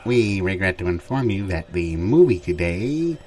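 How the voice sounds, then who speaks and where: A man acts out an airline captain in an improv comedy sketch. His voice sits very low, and he delivers formal announcements in an unflappable, matter-of-fact way. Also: very animated, moderate pace, darkish tone, a little hiss or hum. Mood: calm, official.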